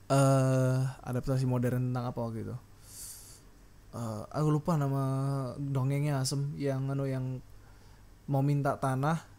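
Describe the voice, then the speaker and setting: A man's voice: medium pitch, mellow. A man with an Indonesian accent delivering a casual, unscripted podcast rant in a blunt, rambling, slangy way with some mild swearing. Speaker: solo podcast host. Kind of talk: unscripted casual ranting monologue.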